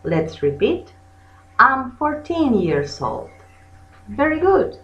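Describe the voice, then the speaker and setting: An echoey, slightly dull recording of a native Spanish-speaking woman teaching a language lesson. She speaks slowly and deliberately with a very lively, swinging intonation, repeating phrases for learners to copy. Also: high-pitched. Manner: bilingual, switches between English and Spanish, has listeners repeat; deliberate, drills phrases by repetition. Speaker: English teacher, channel host. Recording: muffled lo-fi sound in echoey room.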